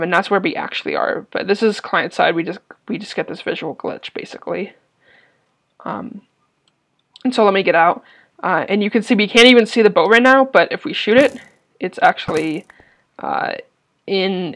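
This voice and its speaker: A man's voice: high-pitched, a bit bright. A young man casually explaining a game glitch over his gameplay, hesitating often with ums and restarts. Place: at a computer.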